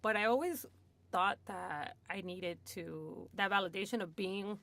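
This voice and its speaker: A woman's voice: medium pitch, unhurried, creaky, fairly bright tone. Chatty woman from Hollywood. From Hollywood, USA. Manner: chatty, lots of "like" and "you know", laughs often. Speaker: guest in recovery. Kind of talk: candid casual storytelling. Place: radio studio.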